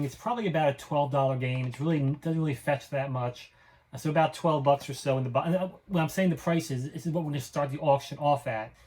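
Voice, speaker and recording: A man's voice: medium pitch, darkish tone. A man hosting his own reseller vlog, showing items in a casual, unscripted, plain-spoken and matter-of-fact way. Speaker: flea market reseller hosting own show. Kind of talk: casual unscripted product show-and-tell.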